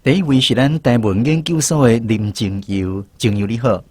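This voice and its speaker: A man with a Taiwanese accent and a slightly husky voice, hosting a language-teaching segment on radio. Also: medium pitch, moderate pace, a little mellow. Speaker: radio programme host.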